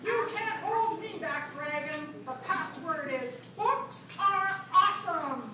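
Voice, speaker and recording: A woman's voice: extremely high pitch, animated, slow, heavily acted. A woman playing a brave, earnest young prince with a bold, declamatory manner in a children's puppet show. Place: library event room, live show. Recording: distant, echoey, very noisy room.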